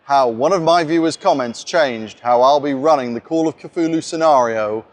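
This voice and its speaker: A man's voice: mid-range voice, normal speed. A man talking casually in an explanatory vlog as a game master sharing tips, in a conversational way.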